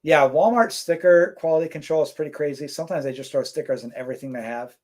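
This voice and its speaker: A man's voice: fairly high pitch, quick pace. A man co-hosting a casual live stream, reading out chat comments and rambling with lots of fillers. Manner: relaxed, rambling, many fillers.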